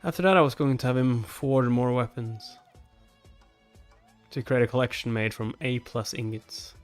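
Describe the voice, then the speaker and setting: A man reads as a businesslike comic-book official, guarded and formal. The voice is mid-pitched and measured, recorded dry with faint music behind. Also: steady tone, no room sound, natural voice. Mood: calculating.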